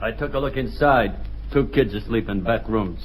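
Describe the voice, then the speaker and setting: A man's voice: fairly low pitch, normal speed, lightly acted, low-quality recording. A man playing a menacing, leering, crude gunman in an old-time radio drama. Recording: old radio recording with background hiss.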